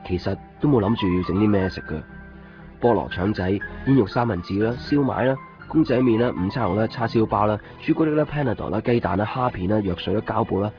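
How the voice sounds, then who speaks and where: A low-voiced, mild young Hong Kong shopkeeper, played by a male actor tells his story plainly in a radio drama. He is gentle and easygoing. The recording is dull, with music clearly playing behind him. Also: natural voice. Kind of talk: radio drama narration and dialogue. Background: prominent background music.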